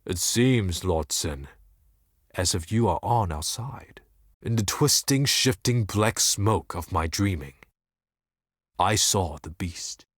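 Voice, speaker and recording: A blind desert seer from a fantasy game, grandiose and tormented. His voice sits low and moves slowly, with a solemn, ominous weight to every formal phrase. The sound is close and dry. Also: no echo, heavily acted. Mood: musing, then haunted. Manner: solemn, formal, ominous, deliberate theatrical delivery. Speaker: game character voice actor. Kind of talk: theatrical scripted fantasy game monologue.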